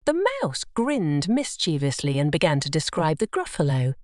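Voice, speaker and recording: Female children's storyteller: lowish pitch, animated reading, dry close sound. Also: normal speed, no echo. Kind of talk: scripted children's story narration.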